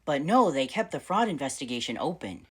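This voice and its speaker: A man in his thirties with a fairly high, bright voice that has a crisp edge. He talks to camera in a casual, bubbly way. His storytelling is animated and lively. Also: brisk. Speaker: vlogger answering viewer questions.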